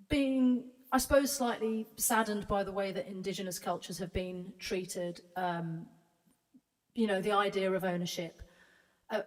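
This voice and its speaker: Soft, hesitant woman in a reverberant room. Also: lowish voice. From Britain. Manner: hesitant, many fillers and restarts. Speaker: singer-songwriter. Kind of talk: casual chat introducing a song. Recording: reverberant room, distant mic, music behind.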